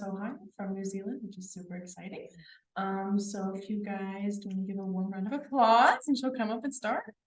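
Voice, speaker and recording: A woman from a student club introducing a guest speaker with upbeat, filler-heavy phrasing. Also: a little high. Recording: echoey room, distant mic.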